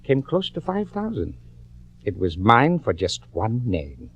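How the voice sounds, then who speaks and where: A cocky american crook, sly folksy drawl, very swingy pitch, muffled dry old radio. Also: male voice, wildly swooping pitch, fairly bright tone, slightly rough, heavily acted. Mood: smug. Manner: drawling, insolent, folksy, hesitant pauses. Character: sly, cocky small-time male crook and blackmailer. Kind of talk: 1940s radio acting. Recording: dull old-time radio recording.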